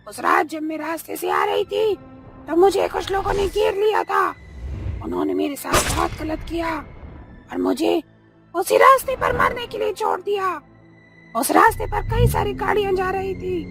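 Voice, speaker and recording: A woman voicing a vengeful giant spider witch ghost in a cartoon horror story, with a shrill, menacing, dramatic delivery. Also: wildly swooping pitch, moderate pace, music in the background, exaggerated voice. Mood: bitter reminiscing.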